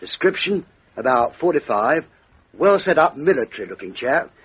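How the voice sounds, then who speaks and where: A very husky dutiful British policeman. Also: fairly high pitch, sing-song, moderate pace, exaggerated voice. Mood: methodical, reporting. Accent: British English. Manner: clipped, report-giving, deferential. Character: dutiful junior British policeman, respectful and methodical. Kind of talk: radio drama acting. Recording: dull, band-limited radio drama recording.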